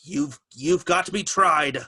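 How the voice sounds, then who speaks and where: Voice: mid-range voice, a little slow, sharp tone, husky. A performer playing a fussy, officious rabbit court herald, declaiming in a dramatic reading. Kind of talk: dramatic reading of a classic children's story.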